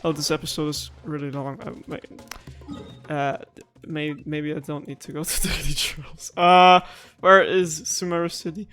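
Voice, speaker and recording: A woman's voice: low-pitched, fairly even intonation, normal speed, a bit bright. A woman giving casual let's-play commentary as a gaming streamer, emotive and dramatic, with loose, sweary phrasing. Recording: game music underneath.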